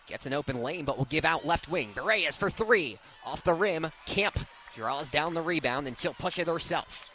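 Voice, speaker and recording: A young man calling live basketball play-by-play as a radio announcer, with an excitable delivery that swells on big plays. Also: on the high side, moderate pace. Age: young adult. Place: courtside at indoor basketball game.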